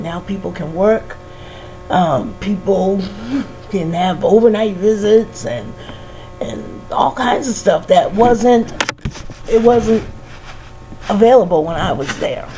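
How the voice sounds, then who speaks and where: A middle-aged woman with a head cold, musing slowly and casually, sighing and laughing softly between many "you know"s. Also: mid-range voice, vocal fry, low-quality recording. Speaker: vlogger. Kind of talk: casual reflective monologue.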